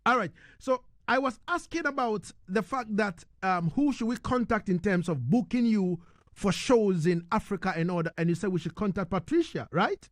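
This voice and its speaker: Male radio host, high voice, very dry sound, effusive slangy interviewing. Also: expressive, moderate pace, no room sound. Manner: effusive, slangy, repeats phrases. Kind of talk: casual, enthusiastic radio interview.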